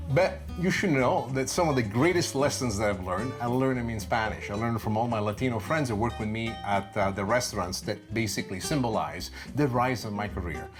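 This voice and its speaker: Passionate man presenting a cooking show. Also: mid-range voice. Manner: passionate, expressive delivery with frequent "uh" fillers. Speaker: TV chef. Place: home kitchen.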